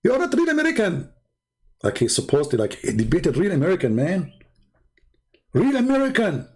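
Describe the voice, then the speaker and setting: A man's voice: high voice, huge pitch swings, fairly quick, a little mellow, slightly rough. A man with an Arabic accent hosting a livestream, challenging and mocking his viewers, his voice jumping up sharply and laughing between phrases. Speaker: livestream debate host.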